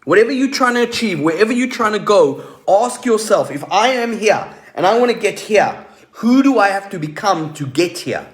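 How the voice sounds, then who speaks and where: A man giving a motivational coaching talk to online viewers, emphatic, repeating key questions with deliberate pauses. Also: high-pitched, sing-song. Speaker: motivational coach, host of a live stream.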